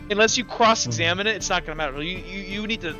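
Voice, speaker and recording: A man acting as game master, narrating a tabletop roleplay session in a casual, halting, informal way. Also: high-pitched, over music.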